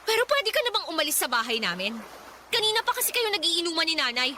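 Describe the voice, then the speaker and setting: A woman's voice: extremely high pitch, very animated, quick pace, sharp tone, a little hiss or hum, full character voice. A woman playing a responsible, fed-up young eldest daughter in an emphatic radio drama style. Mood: indignant. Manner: indignant, scolding, emphatic.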